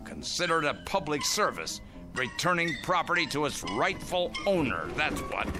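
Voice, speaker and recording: A man's voice: on the high side, sing-song, normal speed, loud music behind the voice. An actor playing a stubborn older man in a vintage radio drama, commanding and indignant. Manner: indignant, commanding, then overjoyed shouting. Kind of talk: 1950s radio drama acting.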